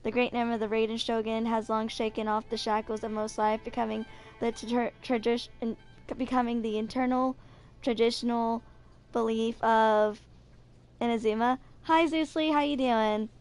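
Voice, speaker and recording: A woman's voice: fairly high pitch, steady tone, moderate pace, darkish tone, clean tone, faint music behind. A woman in her twenties hosting a casual gaming video, chatting and reading game lore aloud, sometimes stumbling and correcting herself. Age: 20s.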